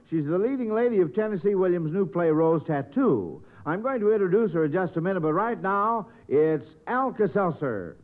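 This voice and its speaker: Guy hosting a fifties radio quiz, voice a bit high, thin narrow old recording. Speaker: quiz show host.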